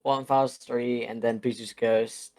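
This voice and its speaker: A man co-hosts a podcast and drops short, dry remarks. His voice is mid-pitched. The recording is close and dry. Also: flat delivery, moderate pace, a little mellow, no echo. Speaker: podcast co-host. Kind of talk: casual chat.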